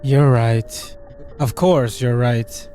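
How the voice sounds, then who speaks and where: A man's voice: mid-range voice, steady tone, warm tone, faint music behind, pitched below the performer's own voice. Man putting on a grave, mysterious game character. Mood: resigned. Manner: slow, grave, dramatic. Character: mysterious, solemn man in a visual novel.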